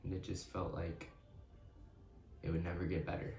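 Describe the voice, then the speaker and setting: A man of twenty-one from West Texas answering interview questions slowly and thoughtfully. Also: deep voice, monotone, warm tone. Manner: slow and thoughtful, pauses with hmm. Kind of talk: casual reflective interview answers. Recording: roomy, distant mic, crowd noise behind.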